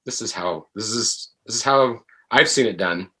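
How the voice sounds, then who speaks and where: Deep-voiced, halting man with bright edge. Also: husky. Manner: halting, searching for words. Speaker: voice actor as podcast guest. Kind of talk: reflective conversational interview.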